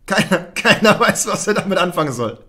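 A battle rapper performing a live round with a rhythmic, punchy delivery that builds toward a payoff. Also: male voice, sits high, lively intonation, fairly quick, pushed and bright.

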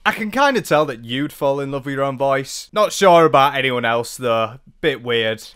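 A man's voice: medium pitch, animated, normal speed, a bit bright, clear, resonant tone. Sarcastic young man. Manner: sarcastic, mocking asides. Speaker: commentary YouTuber. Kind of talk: casual reaction commentary. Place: small room indoors, at a desk. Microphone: handheld dynamic mic close up.

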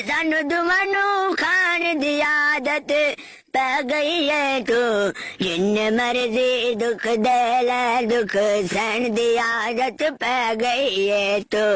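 Punjabi-accented comic voice, high and bright, sings and scolds in banter. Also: female voice, no room sound. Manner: bantering, mock-singing, scolding. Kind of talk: comedic political parody with singing.

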